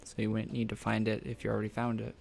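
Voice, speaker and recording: A man's voice: on the low side, monotone, quick pace, gravelly, a little mellow. A young man casually chatting on a game stream, answering viewer questions in a laid-back, rambling way and laughing often.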